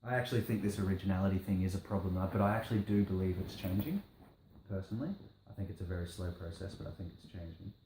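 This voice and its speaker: A low, mellow-voiced man with an Australian accent talks through his views in a relaxed interview. He circles back on himself, repeating phrases and restarting sentences. The room is echoey, with a faint noise underneath. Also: quick pace. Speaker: rapper, interview guest. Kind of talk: casual interview. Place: indoor room, on a couch. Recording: roomy echo, faint background noise.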